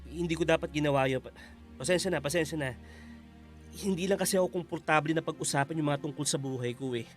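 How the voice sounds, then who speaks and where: A man acting as a polite, secretive houseguest with a Filipino accent, speaking haltingly in a radio drama. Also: on the high side, faint music behind, natural voice. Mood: apologetic, agitated. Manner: hesitant, halting, humble.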